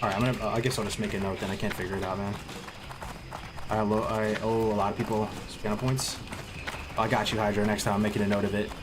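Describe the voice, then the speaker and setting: A man's voice: lowish voice, level tone, quick pace, background noise. A man live-streaming and chatting casually with his viewers, sounding congested with a cold, rambling and restarting his sentences with plenty of gamer slang. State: congested, sniffly. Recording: music playing clearly behind.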